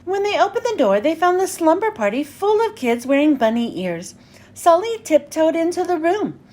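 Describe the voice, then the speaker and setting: A woman with a notably high voice reads a children's story aloud. Her delivery is lively and swings widely in pitch, with each phrase clearly enunciated for young listeners. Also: moderate pace. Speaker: children's read-aloud host.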